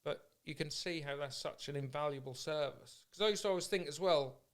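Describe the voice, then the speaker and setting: A man's voice: a little high, brisk. A man hosting a casual podcast, speaking in an informal, candid and agreeable way. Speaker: podcast host. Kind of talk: casual chat.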